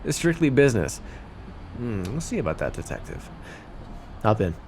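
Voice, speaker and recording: A man's voice: mid-range voice, sing-song, moderate pace, noisy background. A man playing a cocky, teasing mafia boss with a theatrical, flirty drawl in an ASMR roleplay. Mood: flirtatious, teasing.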